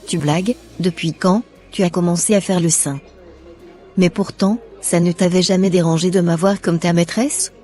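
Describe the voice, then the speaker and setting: A man's voice: high-pitched, steady tone, normal speed, darkish tone, faint music behind, pitched above the performer's own voice, lightly acted. A man voicing a young woman, a cast-off mistress, who talks sarcastically and scornfully in a cartoon. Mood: mocking.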